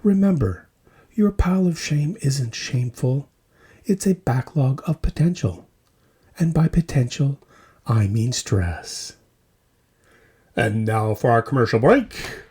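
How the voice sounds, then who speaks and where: A man's voice: medium pitch, animated, takes it slowly, long pauses, mellow, slightly rough. A man hosting a scripted, tongue-in-cheek news roundup in short, punchy phrases. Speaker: host of a tabletop wargaming hobby news podcast. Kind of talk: scripted comedic news roundup with mock commercials.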